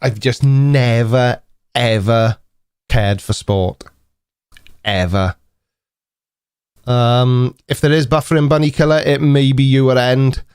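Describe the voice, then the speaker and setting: A man's voice: medium pitch, fairly even intonation, slow pace, pauses often, a little mellow, smooth, dry recording. A man in his forties with a Welsh accent, hosting a casual live stream chat in a dry, teasing, mock-indignant manner. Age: 40s.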